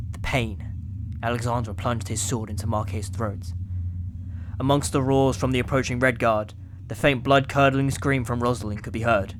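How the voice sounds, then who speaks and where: A man with a mid-pitched voice reads as the narrator of an audio drama. His delivery is scripted, dramatic storytelling. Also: noisy background.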